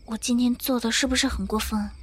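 A woman's voice: on the high side, steady tone, fairly quick, husky. A woman voicing a frightened, whiny young survivor who pleads and panics in a zombie audio drama. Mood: uneasy. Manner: pleading, girlish, prone to panic. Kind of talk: fan audio drama acting.

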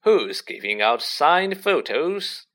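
Man playing a pompous, booming, drawn-out celebrity teacher. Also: very animated, fairly bright tone, exaggerated voice. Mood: booming, jovial. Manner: pompous, hearty, patronising. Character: vain, jovial, booming adult male celebrity teacher.

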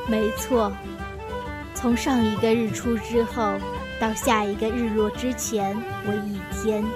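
Woman as a highish-voiced contented young villager. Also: level tone, unhurried, lightly acted. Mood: matter-of-fact. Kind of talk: radio drama acting. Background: background music.

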